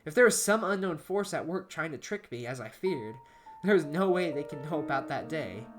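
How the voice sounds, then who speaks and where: A woman's voice: low-pitched, lively intonation, normal speed, soft background music. A woman giving an amateur, dramatic narration of a horror story.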